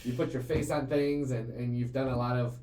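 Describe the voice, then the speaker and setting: A man's voice: medium pitch, fairly even intonation, fairly quick, mellow. A man in his late twenties, hosting a casual business podcast, talking earnestly in long rambling runs with many fillers and repeated words. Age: 20s. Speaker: CEO and show host. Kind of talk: casual conversational podcast chat. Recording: roomy echo, faint ambient noise.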